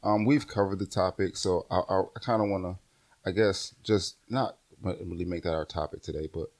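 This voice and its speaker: Very deep-voiced male host. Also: fairly even intonation, normal speed, a little mellow. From Buffalo. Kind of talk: casual podcast chat.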